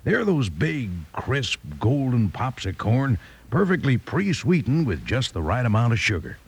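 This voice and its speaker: A folksy male radio pitchman with a husky, creaky voice. His cowboy patter is exuberant and swings widely in pitch. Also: sing-song, unhurried. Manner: exuberant, hammy cowboy drawling slang. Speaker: cereal ad pitchman.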